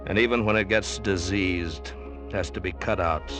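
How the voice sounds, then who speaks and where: Man acting a low-voiced, world-weary hard-boiled hero. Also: steady tone, normal speed, music in the background, close to an everyday voice. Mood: somber. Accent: American. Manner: terse, grave, understated. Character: hard-boiled, world-weary lovesick male protagonist telling his story. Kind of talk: 1940s radio drama acting and first-person narration. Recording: old-time radio recording.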